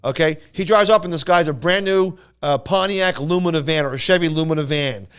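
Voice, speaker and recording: A man with a smooth, fairly high voice spins a casual story on a podcast. He rambles along, stumbling over words and circling back to repeat phrases. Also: fairly quick, a bit bright. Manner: rambling, stammering, repeats himself. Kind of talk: casual anecdote telling.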